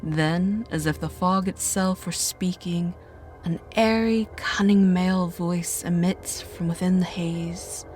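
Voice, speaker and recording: A woman narrator reads horror fiction slowly over music. Her voice sits a little low, and her delivery is measured and dramatic. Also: fairly even intonation, a little mellow. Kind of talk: scripted horror story narration.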